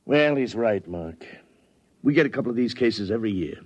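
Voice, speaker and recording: An American man playing a gruff, practical police sergeant in a 1950s radio drama, talking in a colloquial, dismissive way. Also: lowish voice, animated, brisk. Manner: matter-of-fact, dismissive, colloquial. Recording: dull, lo-fi 1950s radio broadcast.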